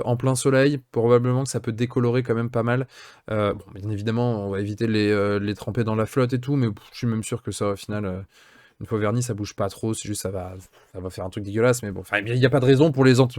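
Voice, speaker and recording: A man's voice: mid-range voice, darkish tone, dry recording, studio quality. A man in his late thirties with a French accent, a hobby channel host casually reading and answering viewer questions, chatty and full of fillers. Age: 30s. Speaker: miniature painting channel host.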